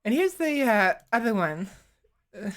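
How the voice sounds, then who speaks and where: A woman streamer chatting casually into a desktop microphone, rambling and halting, often restarting her sentences and poking fun at herself. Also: mid-range voice, sing-song, a bit bright. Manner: self-deprecating. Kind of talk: casual live-stream chat.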